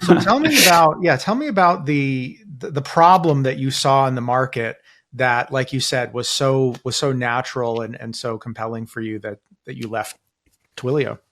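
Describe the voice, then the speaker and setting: A male podcast host talks with his guest in a relaxed conversational interview. His voice sits in a medium pitch, and he speaks emphatically, pressing his point. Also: moderate pace.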